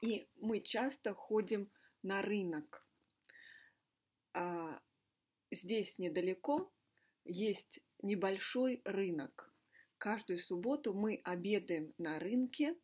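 A woman teaching a language lesson in deliberate, simple, carefully repeated phrases. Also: medium pitch, darkish tone, husky. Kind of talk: slow scripted language lesson monologue. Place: indoor room with a fireplace.

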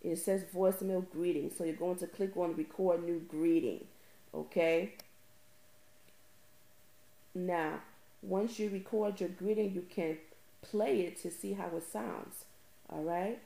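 A woman's voice: lowish voice, steady tone, unhurried, vocal fry, pauses often, dark tone, reverberant, low-quality recording. A woman presenting an informal step-by-step tutorial, emphatically repeating key phrases for stress.

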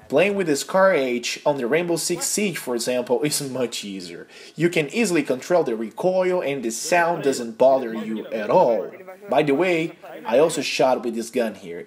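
A young man from Brazil with a Brazilian accent, a native Portuguese speaker, narrating a casual vlog in earnest, slightly halting English. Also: mid-range voice, lively intonation. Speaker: gaming YouTuber.

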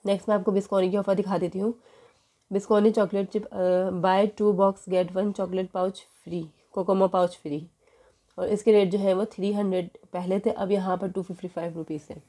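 A woman's voice: medium pitch, normal speed. A woman, walking through supermarket sale prices in a casual vlog, filming a poster on her phone in a store. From Pakistan. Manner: steady price listing with filler "jo hai". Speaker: shopping vlogger. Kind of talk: casual product walkthrough. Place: indoors in a supermarket.

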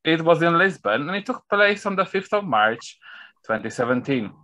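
A man's voice: a little high, big pitch swings, normal speed, pushed and bright, dry recording. A man in his thirties hosting a panel review over a video call, reading out the running order and stumbling on foreign names. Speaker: host. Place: home room with shelves. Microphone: webcam on video call.

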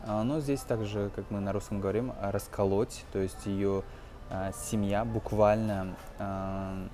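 A man in his twenties giving an informal language lesson as a teacher, explaining phrases in a halting, hesitant way. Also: fairly low pitch, fairly even intonation, mellow, faint music behind. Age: 20s. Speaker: English teacher.

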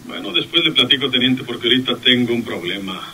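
A man's voice: medium pitch, animated, fast talker, sharp tone, slightly rough, full character voice, rough recording. An actor playing a wily rural detective in a radio serial, speaking colloquially and deferentially as he reports to a superior. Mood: urgent. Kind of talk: old radio serial acting.

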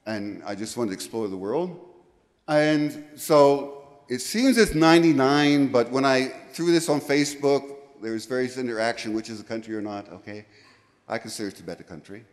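A man's voice: mid-range voice, normal speed. A middle-aged man from the United States giving a conference presentation into a handheld microphone, rambling and correcting himself, often checking in with "okay?". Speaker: conference speaker. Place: large lecture room, indoors. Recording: reverberant hall.

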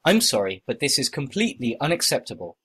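Husky man in lively scripted dialogue. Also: mid-range voice, quick pace. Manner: plain, expressive conversational reading. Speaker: voice actor in listening test dialogues.

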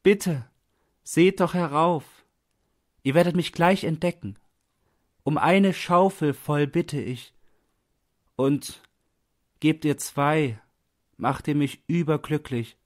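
A freezing, penniless man begs in a raised, high voice, acted out by a male reader. He calls out imploringly and desperately, as in a dramatic audiobook. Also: slow, long pauses. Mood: desperate pleading. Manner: imploring, calling out loudly.

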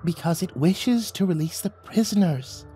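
A man voicing a small, humble sprite courtier who reasons gently and formally in a fantasy story. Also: high-pitched, lively intonation, moderate pace, soft background music, pitched above the performer's own voice. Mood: quietly persuasive.

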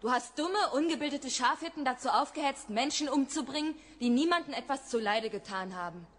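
High-voiced, sharp-tongued woman. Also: animated, brisk. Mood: imperious, bitter. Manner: scornful, blunt. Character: sharp-tongued, troubled radio actress, also playing a pagan queen. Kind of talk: German radio drama acting.

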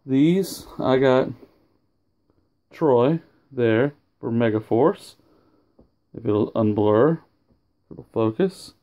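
A man's voice: medium pitch, expressive, very slow, pauses often, dark tone. A man casually showing off his autograph collection at home, rambling and often losing his train of thought. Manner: rambling, loses track, self-corrects. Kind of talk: casual home video show-and-tell. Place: indoors at home, over a sofa.

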